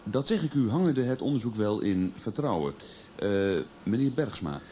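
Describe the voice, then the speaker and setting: An actor playing a brisk, insistent police investigator in a radio drama, speaking formally. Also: male voice, medium pitch, sing-song, warm tone, slight background noise, close to an everyday voice. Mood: formal, businesslike. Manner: formal, officious. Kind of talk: 1990s Dutch radio drama acting.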